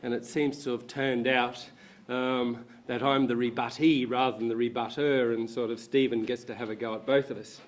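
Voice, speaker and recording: A man with a hoarse, croaky voice delivers a panel talk in a university lecture room. He speaks at an ordinary, mid-range pitch, pausing often with ums and restarting his phrases as he works through his points. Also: flat delivery. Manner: hesitant, frequent ums and restarts.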